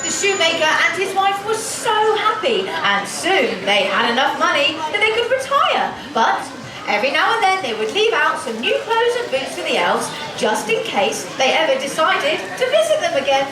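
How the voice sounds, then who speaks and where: A distant, echoey stage recording of a woman telling a pantomime story to children. Her very high voice rises and falls theatrically. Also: very animated, normal speed, crowd noise. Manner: theatrical, exclaiming. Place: children's covered outdoor stage.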